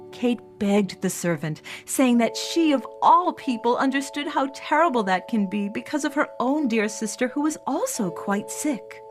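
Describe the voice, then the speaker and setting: A woman narrating a scripted fairy tale for children in an expressive storybook delivery. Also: fairly high pitch.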